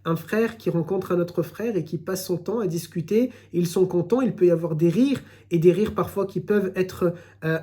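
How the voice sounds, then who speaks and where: A man giving a recorded religious lesson, explaining in a measured way and often repeating his phrases. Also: high-pitched, dark tone. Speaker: Islamic teacher.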